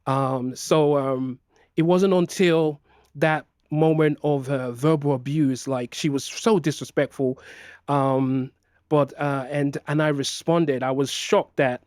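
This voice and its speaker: A man's voice: on the high side, fairly even intonation, takes it slowly, darkish tone, dry recording, clean studio sound. A middle-aged man who grew up in Nigeria, speaking as an interview guest in a hesitant way with many fillers and restarts. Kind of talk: personal interview.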